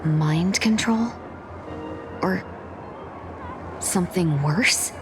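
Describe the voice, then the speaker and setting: A woman voicing a poised, courteous and wary advisor in a video game, speaking politely and deliberately. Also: on the low side, music in the background. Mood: wary, inquiring.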